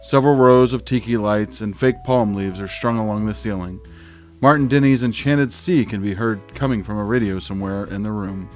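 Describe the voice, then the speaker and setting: An actor playing a clueless, quirky dad detective, narrating in an earnest, scene-setting way for a comic audio drama. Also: male voice, lowish voice, moderate pace, close to an everyday voice. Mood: wry narration. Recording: faint music under the voice.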